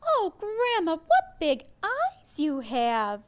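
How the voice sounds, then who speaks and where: A woman's voice: very high voice, wildly swooping pitch, slow pace, voice pushed up, heavily acted. A woman doing a wide-eyed little girl.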